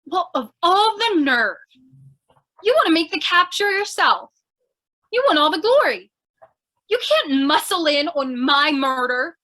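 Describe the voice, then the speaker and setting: A woman's voice: high voice, very animated, a little slow, long pauses, bright, forceful tone, heavily acted. A woman playing a plucky, scatterbrained young newspaper reporter in an old-time radio comedy mystery, flustered and eager. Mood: indignant, insistent. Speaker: voice actor.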